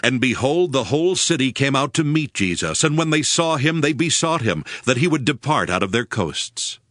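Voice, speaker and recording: A man's voice: mid-range voice, very animated, moderate pace, a bit bright, no room sound, studio quality. A man narrating dramatized scripture in a formal, solemn storytelling manner. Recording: music behind the voice.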